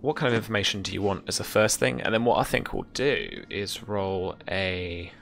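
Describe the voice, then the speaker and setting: A young man casually narrating as game master and explaining rules, in a hesitant, halting way, on a video call. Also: sits low, big pitch swings, creaky, fairly bright tone. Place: indoor room at home, over video call. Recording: faint background noise.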